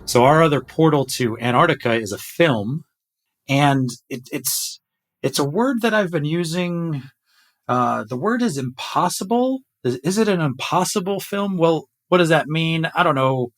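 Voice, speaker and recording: A man's voice: on the high side, lively intonation, unhurried. A man talking casually as the host of a podcast discussion.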